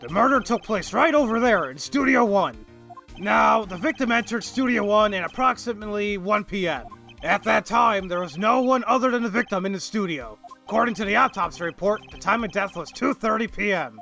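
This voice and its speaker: A man playing a big, soft-hearted, blustering police detective in a video game fandub, blunt and earnest. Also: sits high, expressive, a little fast, vocal fry, soft background music, heavily acted. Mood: emphatic.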